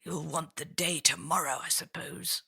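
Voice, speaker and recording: A man's voice: on the high side, fairly even intonation, moderate pace, gravelly, bright, forceful tone, slightly rough, full character voice. A woman voicing a cold, miserly, grumpy old man with a curt, scornful manner in a storybook reading. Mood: grudging.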